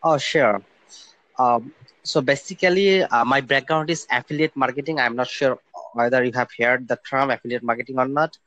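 A young man from Bangladesh talks as a guest over a remote call, pausing often with fillers and restarting his sentences. His voice sits at a middling pitch and sounds dry and close, with no room around it. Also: fairly bright tone, no echo, lo-fi sound. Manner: hesitant, many fillers and restarts. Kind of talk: casual interview talk.

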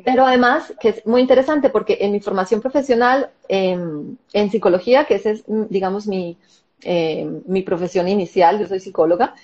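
A Colombian-accented woman, a psychologist, shares her story at a gentle, reflective pace. Her voice sits at an ordinary middle pitch and comes through slightly muffled, as on a low-fi call. She hesitates often with soft fillers. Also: moderate pace. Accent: Colombian Spanish. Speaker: guest, psychologist and therapist. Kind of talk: interview, personal story.

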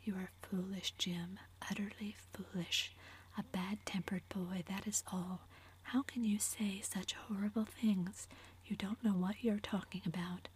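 A woman's voice: medium pitch, slight background noise, lightly acted. A woman whispering the part of a young woman in love, eager and fond, in a storybook reading. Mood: scolding.

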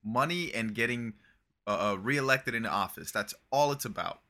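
Bright-voiced young man, loose rambling chat. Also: medium pitch, moderate pace. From Brazil. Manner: relaxed and rambling, swearing, lots of "bro" and "like". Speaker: podcast co-host. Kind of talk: casual chat.

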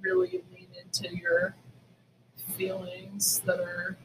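A woman's voice: on the low side, very animated, slow, noisy background. A woman of about forty co-hosting a podcast, chatting casually and bluntly with heavy swearing. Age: around 40. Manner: blunt, heavily profane. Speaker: podcast co-host. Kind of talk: casual chat.

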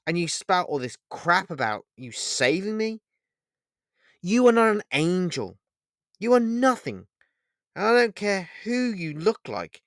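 A man voicing a heartbroken, furious young woman who shouts and nearly screams. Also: medium pitch, very animated, slow pace, long pauses, no echo, studio quality.

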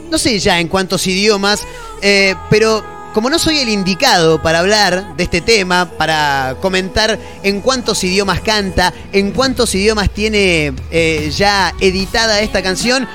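A man's voice: high voice, pushed and bright. A man with an Argentine accent hosting a radio interview, asking long, rambling questions. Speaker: radio host. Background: faint background music.

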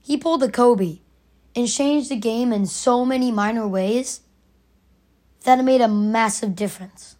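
Raspy young woman ranting emphatically. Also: on the high side, unhurried, darkish tone. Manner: emphatic, argumentative, repeats points. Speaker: podcast host. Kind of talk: opinionated solo podcast rant.

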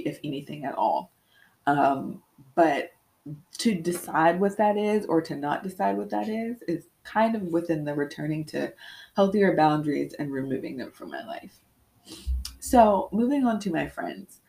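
Low, gravelly young woman podcaster. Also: lively intonation, moderate pace, darkish tone. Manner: candid, reflective, with frequent ums. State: congested with sniffles. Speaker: podcast host. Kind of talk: solo podcast monologue.

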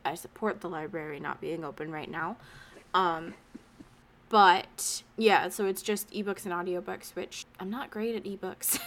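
Bright-voiced chatty young woman. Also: lowish voice, fairly even intonation, unhurried. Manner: chatty, laughs often, sniffly from allergies. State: stuffy allergies. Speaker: podcast co-host. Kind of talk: casual podcast chat.

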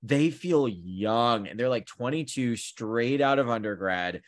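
A man in his thirties co-hosting a podcast, telling stories in an animated, chatty way with lots of fillers. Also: medium pitch, measured pace. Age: 30s. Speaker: podcast co-host; counseling student. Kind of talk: casual chat.

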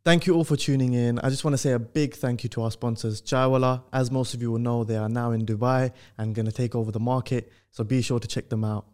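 A man speaks as a podcast host in a casual interview style. His voice sits at a middle pitch with a dark, relaxed tone. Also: fast talker, few pauses, smooth. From United Kingdom.

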